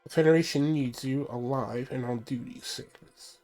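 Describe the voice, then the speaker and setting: A man reading out a stern male ship computer giving mission orders, measured and plain, his voice stuffy from a cold. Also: medium pitch, steady tone, a little mellow, lightly acted, poor audio. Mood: briefing. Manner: reads briefing text aloud, measured.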